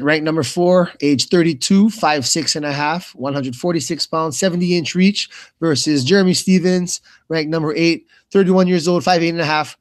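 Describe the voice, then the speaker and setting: Guy calling fights live, laid-back slang, talks like an ex fighter chatting with a buddy. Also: a little high. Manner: informal, slangy, calls people bro and man. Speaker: commentator, former pro fighter. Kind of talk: casual live fight commentary.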